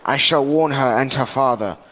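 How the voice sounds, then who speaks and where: A man's voice: medium pitch, normal speed, fairly bright tone, lightly acted. A man playing an honourable, cautious seafarer in an amateur radio drama, with grave, archaic speech. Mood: grave warning. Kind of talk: student radio drama acting. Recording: echoing hall, faint noise.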